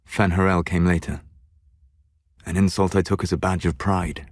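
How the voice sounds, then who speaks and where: A male actor playing a learned, grave and dryly scornful elven mage in a video game, speaking in measured, formal, articulate lines. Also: sits low, level tone, no echo, clean studio sound, natural voice. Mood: calm, reflective. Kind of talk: dramatic game dialogue lines.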